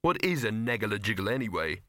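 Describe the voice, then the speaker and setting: Guy playing young man, fed up and sarcastic, bright voice, really dry close recording. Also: mid-range voice, lively intonation, normal speed, dry recording. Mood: exasperated. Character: young man, exasperated, sarcastic, quick to smooth things over. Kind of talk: audiobook character voice.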